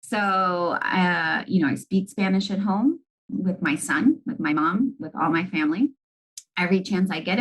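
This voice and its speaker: A woman's voice: medium pitch, low-quality recording. A middle-aged woman from Argentina, an executive guest answering interview questions in a reflective, earnest way, often saying "you know".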